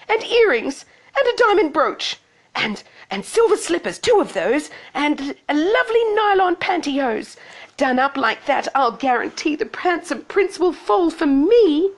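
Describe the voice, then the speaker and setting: A high, swooping young heroine of a comic storybook, acted by a woman. She is demanding and excitable, whining and exclaiming in theatrical style. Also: exaggerated voice.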